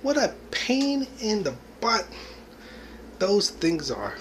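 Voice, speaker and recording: A man's voice: high voice, big pitch swings, slow pace, fairly bright tone, slight background noise. Rambling, reflective man in his forties. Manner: rambling and reflective, full of "you know" and "I mean", with laughs. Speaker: vlogger. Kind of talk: casual spoken monologue.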